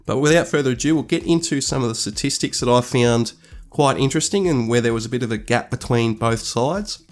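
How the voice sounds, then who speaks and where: A man, stuffy from a cold, talking through football statistics in a measured, thoughtful way with fillers and restarts. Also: medium pitch, faint music behind. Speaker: sports analyst. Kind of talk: scripted-ish stats commentary over slides.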